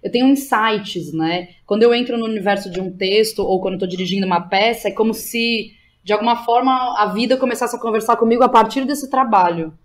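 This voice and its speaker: A woman's voice: fairly high pitch, a little fast, hardly any pauses. Brazilian woman, informal and slangy. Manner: informal and slangy, with frequent check-in tags. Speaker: interview guest, playwright, director and drama teacher. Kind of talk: casual, animated interview talk.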